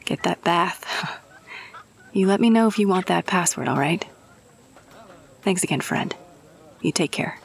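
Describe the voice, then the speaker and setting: Woman playing friendly fantasy rogue, slightly low female voice, very slow pace, chummy and reflective, audio roleplay, ambience behind. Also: lively intonation, gravelly, slight background noise. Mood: chummy, curious. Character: friendly, grateful, grubby rogue-for-hire just bailed out.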